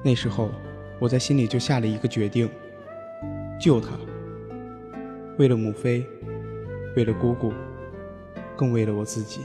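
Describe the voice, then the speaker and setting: An actor playing a composed, slightly aloof young valley lord who speaks in a measured way. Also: male voice, medium pitch, steady tone, over music, lightly acted. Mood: reflective. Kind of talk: Chinese audio drama acting and narration.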